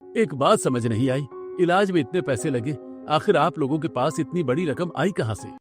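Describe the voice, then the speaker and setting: An actor playing a remorseful young husband in a cartoon, speaking in an earnest, pleading way. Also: male voice, on the high side, animated, moderate pace, lightly acted. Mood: puzzled. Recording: music clearly behind the voice.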